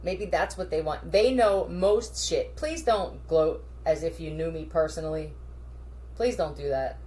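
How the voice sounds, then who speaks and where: A woman with a somewhat low voice rambles to the camera in a scattered stream. She is crude and blunt, swears freely and speaks straight at people who are not there. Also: a little slow, warm tone. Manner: crude, scattered, addresses unseen listeners. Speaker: video creator.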